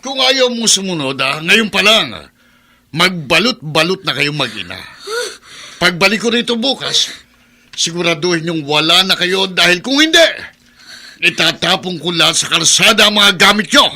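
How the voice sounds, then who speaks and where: Greedy, threatening male in-law for radio drama; piercing, forceful, slightly raised voice. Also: high voice, very animated, normal speed, full character voice. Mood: angry, threatening. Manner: domineering, threatening. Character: greedy, bullying brother-in-law demanding rent from a widow.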